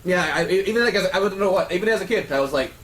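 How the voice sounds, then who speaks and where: Casting: animated man, fairly high voice, sweary joking storyteller in casual group chat, echoey room. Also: very fast, recorded from a distance. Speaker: panelist on a group discussion show. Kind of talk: casual round-table chat. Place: indoor room, group round-table. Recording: roomy echo with faint background noise.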